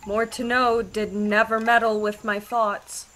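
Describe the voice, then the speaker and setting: Earnest young daughter, high bright voice, unhurried, compassionate, Shakespearean radio drama. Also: female voice, little pitch movement, poor audio. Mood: earnest, wondering. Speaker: actor in a Shakespeare audio play.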